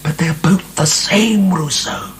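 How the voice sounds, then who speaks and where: An actor in a radio drama playing an imprisoned marquis, a defiant, contemptuous and morbid philosopher, theatrical and sneering. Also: male voice, high-pitched, slow pace. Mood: sneering, intense. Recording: hissy archived radio drama.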